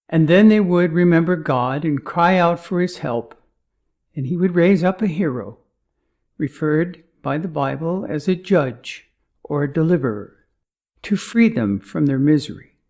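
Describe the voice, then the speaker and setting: A man narrating a scripted Bible lesson as author's notes, reading names and quotations with deliberate emphasis. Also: fairly high pitch, animated, gravelly, darkish tone.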